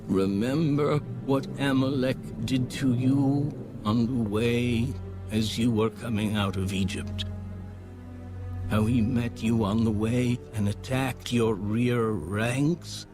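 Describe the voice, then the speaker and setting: A man giving a solemn, measured scripted reading of scripture as an audio Bible narrator. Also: medium pitch, fairly even intonation. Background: background music.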